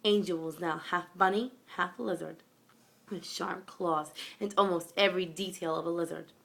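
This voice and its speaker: A woman's voice: lowish voice, fairly even intonation, normal speed, gravelly, a bit bright. A young woman reading a scripted story aloud in a dramatic, amateur way, recorded at home on a phone. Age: young adult or younger, school age. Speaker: amateur fan fiction reader.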